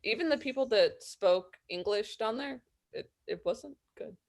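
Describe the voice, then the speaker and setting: A woman's voice: fairly high pitch, animated, measured pace. A woman co-hosting a webcast in casual conversation. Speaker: webcast co-host. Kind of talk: casual chat. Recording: clearly noisy background.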